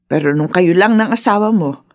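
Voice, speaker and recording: A woman playing a caring, patient older mother and grandmother with a husky voice in a Filipino radio drama. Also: on the low side, lively intonation, brisk, a little mellow, no echo, muffled recording, natural voice. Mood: frank, resigned. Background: background music.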